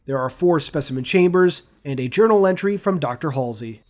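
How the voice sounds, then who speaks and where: A man's voice: fairly high pitch, animated, a little fast, a little mellow. A man hosting a scripted commentary on video game lore.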